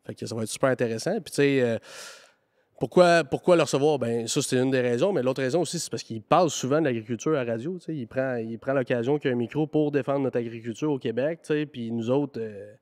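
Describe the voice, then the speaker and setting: A man with a Quebec French accent hosts a farm podcast in a loose, chatty way, with lots of fillers and restarts. His voice sits at a middle pitch. The sound is close and dry. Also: fast, a little mellow, no echo. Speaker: podcast host and farmer. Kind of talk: casual podcast chat.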